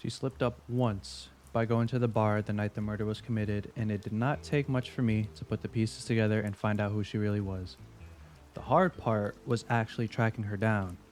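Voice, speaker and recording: A man's voice: lowish voice, steady tone, a little mellow, soft background music, natural voice. A man playing a smug veteran investigator in an audio drama, wry and probing as he interrogates. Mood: matter-of-fact. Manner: wry, needling, deliberate.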